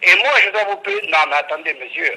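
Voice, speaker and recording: A man speaking as a customer on a phone call, stubborn and indignant, often repeating his words haltingly. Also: on the high side, sharp tone, breathy edge. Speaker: customer being prank-called. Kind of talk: phone conversation. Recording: noisy telephone line.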